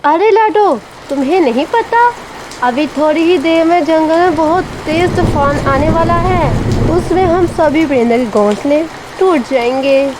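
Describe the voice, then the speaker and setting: A woman's voice: high-pitched, unhurried, noisy background, voice pushed up. A woman voicing an urgent, insistent female pigeon in a children's cartoon. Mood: urgent warning. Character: urgent, sensible female pigeon warning her friend. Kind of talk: children's cartoon story acting.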